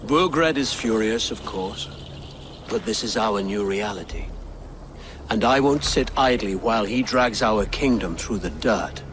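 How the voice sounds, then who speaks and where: A man's voice: on the low side, expressive, a little slow, background noise. An actor playing an earnest, anxious would-be Saxon king in a video game cutscene, speaking in an insistent way.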